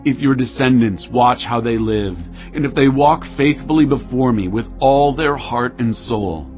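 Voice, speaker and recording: A man's voice: mid-range voice, measured pace, close to an everyday voice. A man acting a grave aged king, dull recording. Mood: grave, fatherly. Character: aged King David, solemn, commanding, near death. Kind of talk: dramatised audio Bible acting. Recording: dull lo-fi audio with music behind.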